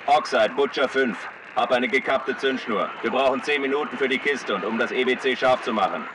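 Steady, distant military team leader played by a man. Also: mid-range voice, brisk, background noise, natural voice. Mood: businesslike. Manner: clipped, matter-of-fact. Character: steady, businesslike special-forces team leader on radio. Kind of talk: video game military radio chatter.

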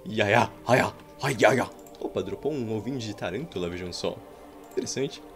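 A man's voice: on the low side, moderate pace. Playful Brazilian man rambling over gameplay. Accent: Brazilian Portuguese. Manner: playful, rambling, jokey, sudden excited outbursts. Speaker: gameplay streamer. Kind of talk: casual gameplay commentary with roleplay. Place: indoor room at home. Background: faint game music.

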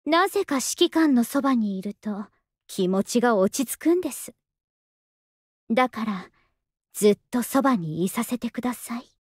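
A young anime game soldier woman with a high voice. She is languid and polite, with an understated affection, and her pitch swings widely. The sound is dry and close. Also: animated, moderate pace, darkish tone, breathy edge, no room sound. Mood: languid, calm. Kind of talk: Japanese anime game voice acting.

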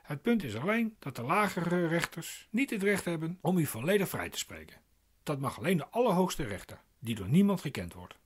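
A man's voice: a little high, very animated, a little fast, husky. An actor playing a glib, pedantic man explaining legal procedure in a radio play, insistent and didactic.

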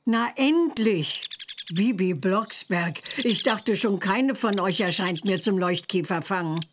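A woman's voice: mid-range voice, takes it slowly, background noise, natural voice. An actress playing a bossy, impatient older witch aunt in a children's cartoon game, giving instructions sternly. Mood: bossy, instructing.

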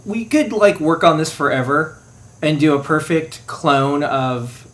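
A man's voice: fairly high pitch, lively intonation, a little slow, fairly bright tone. A man speaking casually and plainly as co-host of an informal livestream. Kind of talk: casual off-camera commentary.

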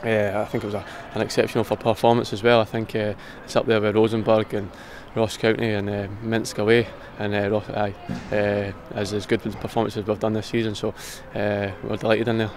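Young Scottish footballer, rapid gravelly speech, low flat voice, faint traffic and outdoor hum. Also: male voice. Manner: quick, gravelly, casual, says "aye". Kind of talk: post-match interview. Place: outdoors pitchside at a stadium. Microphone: handheld interview mic, close camera.

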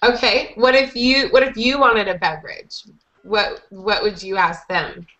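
A young woman teaches conversational English in an encouraging manner. Her voice is bright and sits at a middling pitch. It comes through a headset on a video call, with a muffled, lo-fi edge. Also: fairly even intonation, unhurried. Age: young adult. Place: small indoor room, group video call. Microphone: headset boom mic.

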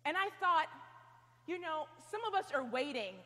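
A woman's voice: high voice, expressive, normal speed, bright, forceful tone. A young woman preaching a sermon, telling stories animatedly and acting out what she says. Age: young adult. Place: large stone chapel. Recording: long hall reverb.

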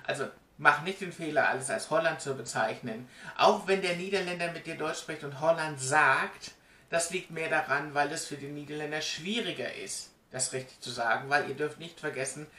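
A man's voice: on the high side, pushed and bright. A man, chattily explaining things to camera with emphatic, direct address, recorded on a webcam in a room at home. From Germany. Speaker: vlogger. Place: small indoor room at home. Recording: roomy, distant webcam sound.

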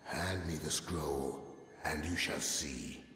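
A man playing a stern, commanding immortal warrior king in a fantasy game, solemn and imperious, with a gravelly voice. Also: very deep voice, slow, a bit bright, full character voice. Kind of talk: fantasy video game character acting. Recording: echoey hall with long reverb.

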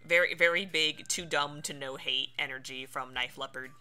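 A game streamer giving casual, playful let's play commentary with lively reactions. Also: mid-range voice, normal speed, smooth. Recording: game music behind.

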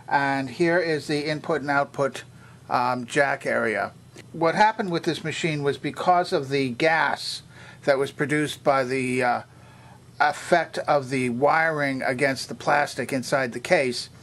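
Older male demonstrator, slightly high-pitched for a man, methodical how-to delivery. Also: unhurried, a bit bright. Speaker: vintage electronics repairer and demonstrator. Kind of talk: explanatory hands-on product tour.

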